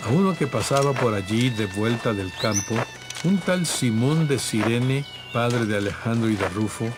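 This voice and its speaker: A man's voice: mid-range voice, lively intonation, moderate pace, background noise. A man reading scripted, dramatized Bible narration. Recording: music bed behind narration.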